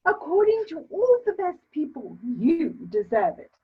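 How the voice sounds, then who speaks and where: A woman's voice: very high-pitched, big pitch swings, measured pace, phone-quality sound, full character voice. A woman playing an imperious, disapproving elderly society matron in a theatrical reading, with a haughty drawl and exclamations. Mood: insistent, flattering. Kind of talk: theatrical dramatic reading of a period comedy.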